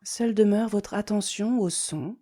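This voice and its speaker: A woman leading a guided yoga nidra relaxation, giving slow, calm, soothing instructions with deliberate pauses. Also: medium pitch.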